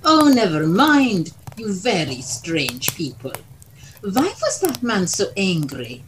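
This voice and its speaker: A woman playing a blunt, flirtatious, hard-drinking Bulgarian with a Bulgarian accent and deadpan broken English in a comic audio drama. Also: medium pitch, takes it slowly, background noise. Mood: weary, puzzled.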